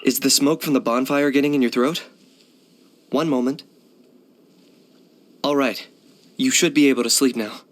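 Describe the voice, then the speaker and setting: An actor playing a cool, pragmatic young hunter for hire in a video game, deadpan, matter-of-fact and laconic. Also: male voice, medium pitch, moderate pace, pauses often, close to an everyday voice. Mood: calm. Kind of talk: video game character voice lines.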